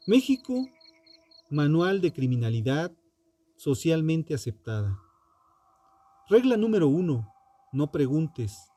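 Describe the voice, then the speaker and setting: A man narrating a scripted true crime story with dramatic, deliberate phrasing and pointed pauses. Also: on the high side, very animated, warm tone. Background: music under the voice.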